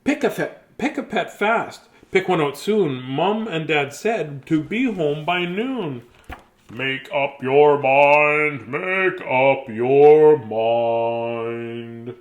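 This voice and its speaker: A man's voice: on the high side, expressive, very slow. A man reading a children's bedtime story aloud expressively, with playful, theatrical delivery and chatty, casual asides.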